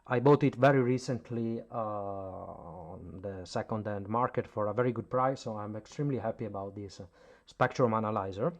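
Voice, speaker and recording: Italian man, halting hobbyist demo. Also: on the low side. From Italy. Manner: hesitant, many filler "uh"s. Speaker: hobbyist channel host. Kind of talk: casual explanatory demo. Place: indoor workbench at home.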